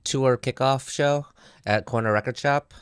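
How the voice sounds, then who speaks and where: A man's voice: medium pitch, unhurried, smooth, dry recording, studio quality. A man who plays in a band, chatting casually as one of a panel. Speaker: musician, panelist. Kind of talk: casual chat.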